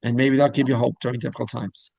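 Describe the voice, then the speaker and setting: A man's voice: medium pitch, little pitch movement, fast talker, creaky, darkish tone, dry recording. A man hosting a casual interview, talking in a rambling way that circles back mid-sentence. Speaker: podcast host interviewer.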